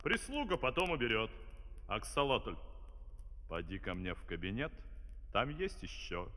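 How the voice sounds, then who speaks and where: A man's voice: on the low side, sing-song, measured pace, bright, forceful tone, exaggerated voice. An actor playing a grand, pompous, scheming father and official, in theatrical ceremonious fairy-tale stage style. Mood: commanding. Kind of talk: 1970s Soviet musical fairy-tale stage acting. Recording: reverberant old vinyl studio recording.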